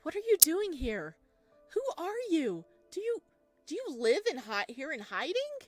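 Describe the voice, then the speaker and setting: A frightened, indignant visitor from a horror game, acted out by a woman, pitched high and agitated. The lines come sharp and accusing, with music underneath. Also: wildly swooping pitch, unhurried, dry recording, full character voice. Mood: alarmed. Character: frightened, indignant visitor trapped in a decaying house.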